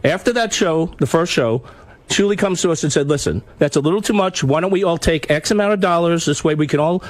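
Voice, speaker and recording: A man in a heated studio discussion, complaining and defending himself with halting repetitions. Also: a little high, no echo. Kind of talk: argumentative casual studio talk.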